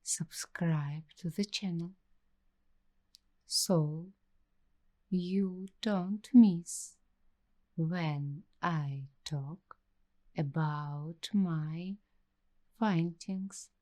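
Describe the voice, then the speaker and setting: A woman speaks very slowly in a soft, mellow, hushed voice, slipping into whispers. She plays a paranoid, secretive waitress in an ASMR mystery roleplay, weighing each phrase with care. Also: fairly low pitch. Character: paranoid young waitress investigating a creepy attic mystery. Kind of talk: ASMR roleplay, slow scripted monologue.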